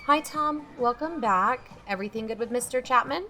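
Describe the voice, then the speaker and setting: Bright-voiced woman acting a watchful chaperone. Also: a little high, moderate pace, faint music behind. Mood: friendly. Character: Stevie, watchful woman youth-camp chaperone. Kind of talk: confiding first-person audio drama narration.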